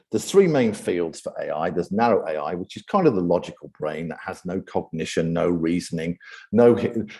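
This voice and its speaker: A British man with a low voice presents to an audience as the event's host. His tone is mellow and relaxed. He speaks off the cuff, stalling now and then on repeated words before pushing on. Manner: hesitant, often repeating words before going on. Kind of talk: unscripted presentation talk.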